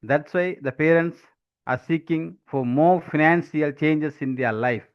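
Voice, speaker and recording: A Sri man in his forties giving a deliberate, halting impromptu speech with many fillers. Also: a little high, smooth. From Sri Lanka. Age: 40s. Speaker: impromptu speaker. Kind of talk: impromptu table topics speech. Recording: dull lo-fi audio.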